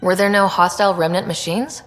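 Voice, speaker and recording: A woman's voice: fairly low pitch, fairly even intonation, fairly quick, a little hiss or hum, natural voice. Woman as a polite, curious game heroine. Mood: polite curiosity. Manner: courteous, questioning. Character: polite, inquisitive young female human explorer. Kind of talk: video game dialogue acting.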